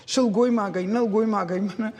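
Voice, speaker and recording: A native Ossetian-speaking man with a husky voice, giving a halting, unscripted account. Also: sits high, fairly even intonation, a little mellow. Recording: very echoey, reverberant room.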